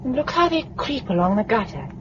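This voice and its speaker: A woman's voice: a little high, very animated, fairly quick. An actress playing a concerned, compassionate woman survivor in a 1950s radio drama, earnest and anxious. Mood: watchful. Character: Gisela, concerned, compassionate young female survivor. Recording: muffled, noisy vintage radio recording.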